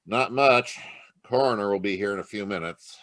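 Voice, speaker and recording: An actor playing a weary, grouchy, sarcastic homicide lieutenant in an old-time radio detective play. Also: male voice, on the low side, moderate pace, fairly bright tone, no room sound, close to an everyday voice. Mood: businesslike. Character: grumpy, weary male police homicide lieutenant. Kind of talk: 1940s detective radio acting.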